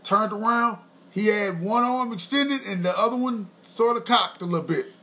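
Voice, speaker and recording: A man co-hosting a podcast with his wife, talking in a loose, boastful, rambling way and clearing his throat often. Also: high voice, moderate pace, pushed and bright. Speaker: podcast co-host. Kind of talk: casual chat.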